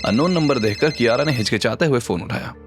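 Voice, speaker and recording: A man's voice: mid-range voice, expressive, quick pace. A man narrating a scripted audio drama story in a dramatic style. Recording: music under the voice.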